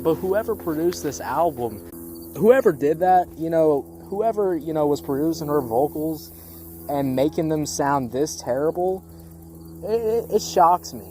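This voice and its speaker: A music critic giving a casual, opinionated album review, speaking bluntly and repeating phrases for emphasis. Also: mid-range voice. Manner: casual swearing.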